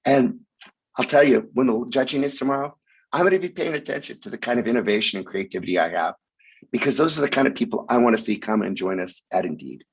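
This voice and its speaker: A man speaking hoarsely with a raspy voice while sick with the flu, giving a halting sponsor talk over a video call with many ums. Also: mid-range voice, expressive, rough recording. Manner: halting, frequent ums, occasional cough. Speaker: sponsor speaker at hackathon opening. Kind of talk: online event speech.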